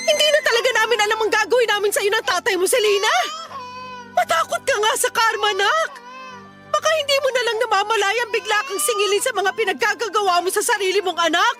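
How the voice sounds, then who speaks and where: Shrill, scolding grandmother. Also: a little fast. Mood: reproachful. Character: scolding, exasperated grandmother, protective of the baby. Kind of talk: Tagalog radio drama acting. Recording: music under the voice.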